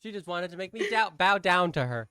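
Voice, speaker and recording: A man co-hosting a casual podcast chat, soft-spoken and halting, often restarting his sentences. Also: high voice, expressive, clear, resonant tone, dry recording, studio quality. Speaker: podcast co-host.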